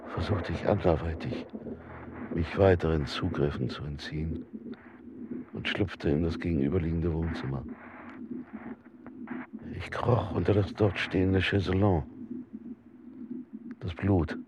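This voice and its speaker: An actor playing a persecuted husband in a documentary radio drama, recounting his sworn testimony in a formal, measured, precise way. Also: deep voice, background noise. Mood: sober, grave.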